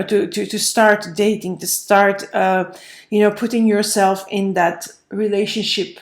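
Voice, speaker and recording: A woman's voice: medium pitch, darkish tone. Woman reading tarot in an echoey room. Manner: rambling, conversational, emphatic repetition. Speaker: tarot reader, host. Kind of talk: casual spoken tarot reading to viewers. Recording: roomy, echoey room sound.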